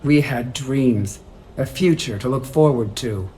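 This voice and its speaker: An actor playing a solemn, measured alien clan member in a video game, speaking earnestly. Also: male voice, medium pitch, darkish tone, a little hiss or hum, lightly acted, low-quality recording. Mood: solemn, reflective.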